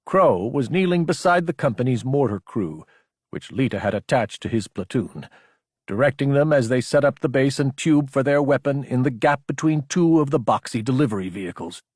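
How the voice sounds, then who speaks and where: A man's voice: medium pitch, expressive, moderate pace, a little mellow, slightly rough, no echo. A man narrating action fiction as a scripted audiobook, recorded close on the microphone.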